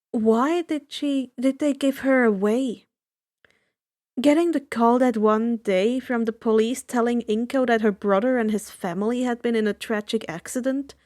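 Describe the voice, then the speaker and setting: Woman reading out a fanfic, storytelling style, fairly high voice. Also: a little mellow. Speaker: fan fiction reader. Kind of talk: scripted story narration.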